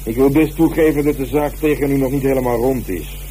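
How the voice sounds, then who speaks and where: An actor playing a calm, methodical police inspector, measured and politely firm in a radio drama. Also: male voice, medium pitch, a little hiss or hum, lightly acted, low-quality recording. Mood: dry, firm. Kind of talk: naturalistic radio drama acting.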